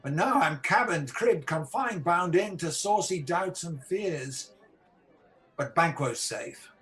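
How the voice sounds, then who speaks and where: A man's voice: on the high side, lively intonation, takes it slowly, a bit bright. An amateur actor playing a guilt-haunted tyrant king with a hospitable surface in a Shakespearean radio play. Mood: anxious, brooding.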